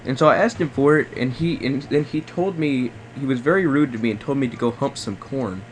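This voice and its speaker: A man's voice: medium pitch, a little fast, rough recording. A man making a polite phone complaint, hesitant and repetitive, circling back over his words. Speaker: prank caller posing as a customer. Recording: faint background noise on recorded call.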